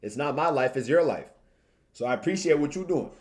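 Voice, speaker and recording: A man's voice: a little high, expressive, brisk, a little mellow. A young man from Tanzania chatting casually on a livestream in informal slang, repeating phrases for emphasis. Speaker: streamer and video creator.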